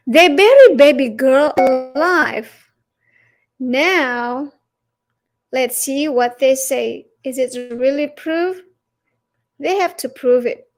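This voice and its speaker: A woman with an Indonesian accent presents a religious lesson in a very high-pitched voice. Her delivery is slow and halting, with emphatic stresses. She leans on challenging rhetorical questions as she teaches.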